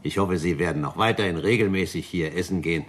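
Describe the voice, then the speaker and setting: An eager, credulous gentleman diner in a 1960s radio play. His voice is fairly low and somewhat husky. He speaks in formal, earnest, courteous phrases. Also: quick pace. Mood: earnest, warm. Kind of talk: 1960s German radio drama acting.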